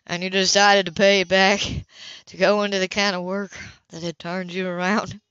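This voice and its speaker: A woman puts on a gruff, protective man in an audiobook. He is lowered in pitch and murmuring, angry yet tender, on a slightly dull home recording. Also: level tone, moderate pace, fairly bright tone, dry recording, natural voice. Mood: gruff, sorry. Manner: low, murmuring.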